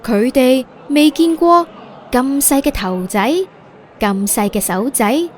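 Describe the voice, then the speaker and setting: A woman's voice: high voice, animated, slow pace. A woman with a Hong Kong Cantonese accent narrating a scripted story for children as a podcast host.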